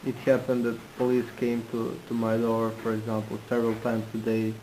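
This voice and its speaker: A man interpreting into English, halting and restarting in phrasing. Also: mid-range voice, monotone, heavy background noise. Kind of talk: consecutive interpreting.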